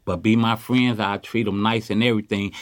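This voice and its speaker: A man's voice: lowish voice, fairly even intonation, a little fast, dry recording, studio quality. A man in his fifties from Chicago, talking boastfully in street slang while interviewed on a city street. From Chicago, USA. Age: 50s. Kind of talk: candid street conversation. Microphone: handheld mic.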